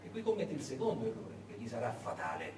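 A man's voice: medium pitch, wildly swooping pitch, quick pace. A man narrating as a stage actor, in a dramatic, emphatic and suspenseful storytelling style. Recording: echoey hall, distant mic, clear background noise.

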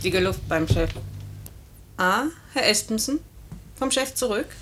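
An actress in a 1950s radio play voicing a brisk airline secretary, matter-of-fact and slightly sardonic. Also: female voice, medium pitch, animated, a bit bright, slight background noise. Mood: businesslike. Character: brisk, dry female office secretary at an airline.

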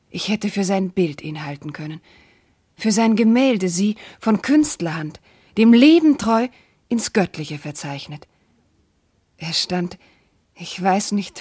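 An actress playing an anguished, devoted young princess in a classical German verse radio play, speaking in an impassioned, declamatory way. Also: female voice, medium pitch, darkish tone, no echo, clean studio sound, natural voice. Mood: rapt.

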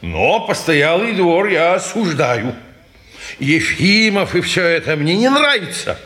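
Slow, grumbling old Russian gentleman. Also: sits high, big pitch swings, heavily acted. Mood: grumbling, displeased. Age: older. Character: grumbling, pompous old man, owner of the house. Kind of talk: 1950s Russian stage drama acting.

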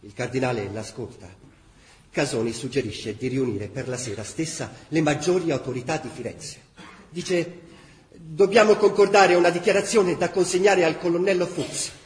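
A man's voice: fairly high pitch, moderate pace. A man reading a scripted dramatic historical chronicle for radio theatre. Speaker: narrator. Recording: very echoey room.

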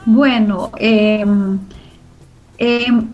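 A woman's voice: on the high side, steady tone, extremely slow, a little mellow. A woman being interviewed, explaining her organization's work in a conversational way. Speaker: interview guest from a community media organization. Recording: dull lo-fi audio, music behind.

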